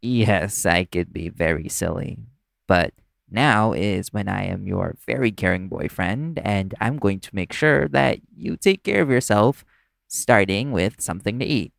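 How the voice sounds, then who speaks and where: A man acting as a caring, gently teasing boyfriend in an intimate audio roleplay, attentive and softly insistent. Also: lowish voice, animated, a little slow, creaky, no echo. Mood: tender concern.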